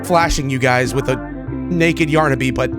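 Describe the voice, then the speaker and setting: A man hosting a reaction video, talking casually to viewers with excited, repeated exclamations. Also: medium pitch, moderate pace, music in the background. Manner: casual, repetitive exclamations, addresses viewers familiarly. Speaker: video host reacting to game clips.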